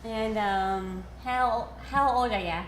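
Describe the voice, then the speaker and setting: Woman interviewer, higher voice, echoey room. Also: takes it slowly, slight background noise. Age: 30s. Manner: simple questions, frequent "mm-hmm" and "cool". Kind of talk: casual interview questions.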